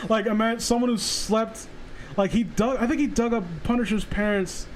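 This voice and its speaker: A man hosting a casual livestream chat, rambling excitedly and swearing freely like an enthusiastic fan. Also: sits high, normal speed, a little hiss or hum, poor audio. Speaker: livestream host. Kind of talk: casual chat about comic book characters.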